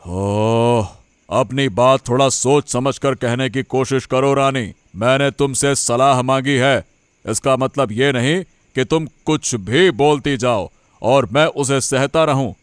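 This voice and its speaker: A man voicing a principled, authoritative king in a cartoon, speaking in a measured, deliberate and lordly way. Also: medium pitch, smooth, dry recording, lightly acted. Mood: stern, irritated. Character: principled, authoritative king, firm with his queen. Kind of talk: Hindi cartoon dialogue acting.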